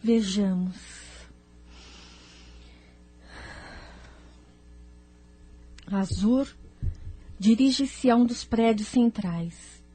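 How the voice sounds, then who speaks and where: An actress playing a noble, sensitive telepathic seer in an audio drama, speaking in a formal, solemn and deliberate manner. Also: female voice, a little high, a little mellow, no echo. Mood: focused.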